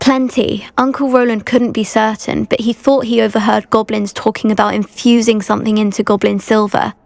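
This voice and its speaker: An anxious British schoolgirl witch in a video game. Her voice is slightly high with a noticeable creak. She speaks politely and earnestly, and the sound is very dry. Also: flat delivery, a little fast, darkish tone, dry recording. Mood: grateful, uneasy. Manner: earnest, well-spoken. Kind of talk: video game dialogue acting.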